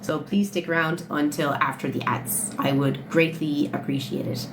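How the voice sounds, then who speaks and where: A woman's voice: low-pitched, fairly even intonation, moderate pace, over music, rough recording. A woman in her late twenties with an Irish accent, chatting bluntly with viewers on a livestream and swearing freely. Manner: blunt, swears freely, answers chat questions. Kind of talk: casual livestream chat with viewers. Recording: phone livestream with audible background noise.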